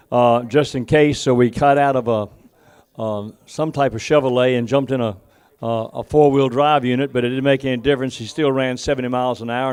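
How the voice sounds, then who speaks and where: A man at a student auction who tells stories in a folksy way and calls bids in a rapid rhythmic chant. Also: mid-range voice, a little mellow, clear, resonant tone. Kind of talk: auction bid calling. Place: auction hall.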